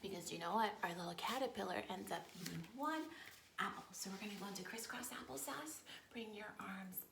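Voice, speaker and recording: A woman teaching yoga storytime to young children, encouraging and playful, with expressive storytelling and hushed, breathy moments. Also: medium pitch, fairly quick. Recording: roomy echo with faint noise.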